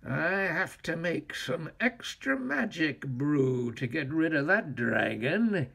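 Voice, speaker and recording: Give the storybook a feisty, boastful old witch, played by a man. The voice is husky and swoops around widely, stretching out exclamations theatrically as it scolds and schemes. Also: fairly high pitch, very animated, slow, a bit bright, exaggerated voice. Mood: scheming.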